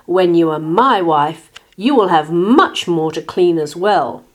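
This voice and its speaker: Storybook male pig read by a woman: smug, bossy boor with a loud, blustering delivery. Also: low-pitched, takes it slowly. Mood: indignant, bossy. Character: smelly, boorish male pig suitor, smug and demanding.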